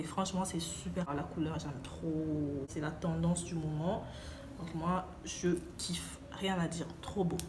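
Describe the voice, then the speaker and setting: A woman's voice: medium pitch, a little hiss or hum. A young woman talking to camera as a beauty YouTuber, chatty and enthusiastic with casual slang. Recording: echoey room.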